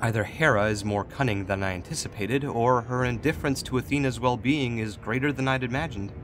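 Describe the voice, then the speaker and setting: A man playing a composed, calculating god in authority, speaking in a measured, formal, diplomatic way in a fantasy audio drama. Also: mid-range voice, fairly bright tone, a little hiss or hum, lightly acted. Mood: shrewd, measured. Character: Raphael, composed, calculating male god in authority, gently chiding.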